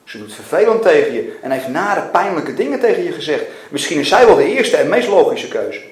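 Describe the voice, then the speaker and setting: A man's voice: high-pitched, very animated, normal speed, vocal fry, a little mellow, voice pushed up, heavily acted. A man reading the part of God from a novel, a probing and insistent questioner who can turn tender. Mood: insistent, challenging. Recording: echoey room with faint noise.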